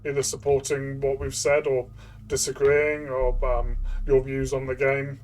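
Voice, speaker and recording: A man co-hosting a casual game review, speaking in a measured way and repeating points for emphasis. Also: mid-range voice, level tone, poor audio. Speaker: co-host, player reviewing tabletop RPGs.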